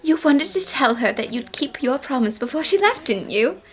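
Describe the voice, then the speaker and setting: A woman voicing a confident, cheeky young female detective in an anime fan dub, brisk, smug and theatrical, with music underneath. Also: high-pitched, lively intonation. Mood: confident, accusing.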